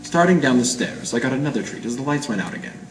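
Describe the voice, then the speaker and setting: An amateur actor playing a hard-boiled 1940s private eye in a radio noir, clipped, deadpan and slangy. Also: male voice, mid-range voice, fast, over loud music, natural voice. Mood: grim narrating. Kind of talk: 1940s radio noir acting with first-person narration. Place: live presentation in a roomy gallery. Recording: echoey room, distant mic, faint noise.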